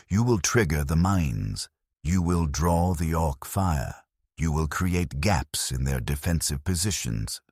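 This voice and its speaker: A man's voice: rumbling low, a little mellow, husky, no room sound, studio quality, exaggerated voice. A man narrating a story as a callous military commander, briefing troops in a clipped, commanding, unfeeling manner.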